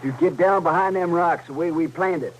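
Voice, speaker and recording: An actor playing a scheming Western outlaw leader in an old-time radio drama, with a rural American West accent and a folksy, commanding way of talking. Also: male voice, a little high, a little fast, close to an everyday voice, rough recording. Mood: tense, commanding. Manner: folksy, commanding drawl with tag questions. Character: domineering, scheming Western outlaw leader bossing his slow partner. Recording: old radio recording with faint hum and noise.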